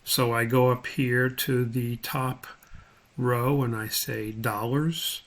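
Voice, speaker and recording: A man giving an unscripted step-by-step software tutorial, talking haltingly as he thinks aloud while he works. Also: medium pitch, steady tone.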